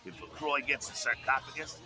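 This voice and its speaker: A man's voice: medium pitch, lively intonation, brisk, close to an everyday voice. An actor playing a streetwise, sardonic male vampire rebel leader in a video game, talking in a drawling, conspiratorial way. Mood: wry. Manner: drawling, conspiratorial, calls the player kiddo. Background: game music.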